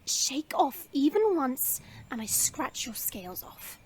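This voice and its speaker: Spirited young princess, female actor, very high, animated delivery, commanding, fantasy audio drama. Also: slow pace, a little hiss or hum, heavily acted. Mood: firm. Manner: commanding, expressive.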